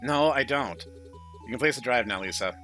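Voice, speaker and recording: A man's voice: medium pitch, expressive, moderate pace, sharp tone, faint music behind. A man reading the part of an awkward, sardonic, introspective young programmer in a visual novel. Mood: defensive. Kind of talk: visual novel read-aloud.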